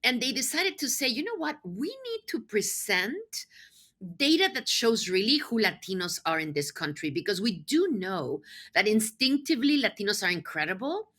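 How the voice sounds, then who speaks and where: A woman's voice: on the high side, sing-song, normal speed, a bit bright. A middle-aged woman from Mexico City, a native Spanish speaker, answering interview questions conversationally with enthusiasm and emphasis. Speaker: podcast guest, nonprofit executive.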